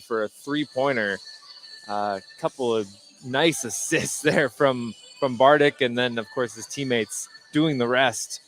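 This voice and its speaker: A man giving conversational live color commentary on basketball, halting and repeating words as he forms his points. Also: mid-range voice, fairly bright tone, slight background noise. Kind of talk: live conversational sports analysis. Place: indoor basketball gym.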